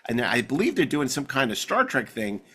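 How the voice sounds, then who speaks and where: Rambling, excitable man chatting casually. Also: mid-range voice, brisk, breathy edge. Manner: rambling, excitable, with stammered repeats. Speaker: podcast host. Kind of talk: casual chat about sci-fi television.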